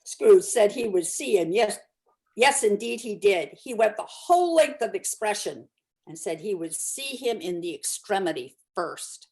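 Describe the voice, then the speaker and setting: A woman's voice: mid-range voice, lively intonation, measured pace, darkish tone. A woman narrator reading a classic story aloud as scripted narration.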